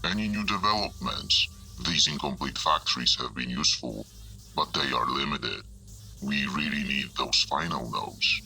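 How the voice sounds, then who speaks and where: A man playing a shadowy, calm and persuasive conspirator in an audio drama, speaking in a measured, formal way. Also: extremely low pitch, slight background noise, full character voice, poor audio. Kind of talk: amateur audio drama acting.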